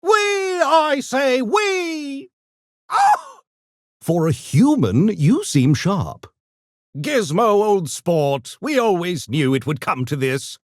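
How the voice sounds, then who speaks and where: Pompous, wildly swooping genius gremlin. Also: male voice, sits high, big pitch swings, slow, no room sound, clean studio sound, heavily acted. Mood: manic, shouting, then haughty, amused. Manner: pompous, theatrical, mock-genteel diction with manic outbursts. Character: smug, erudite genius gremlin, gleefully mischievous and haughty. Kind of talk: video game character voice lines.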